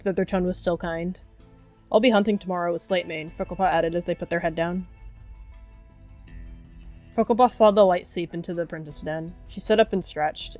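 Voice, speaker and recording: Low-pitched woman narrating a roleplay. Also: a little mellow. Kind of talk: scripted-sounding roleplay narration.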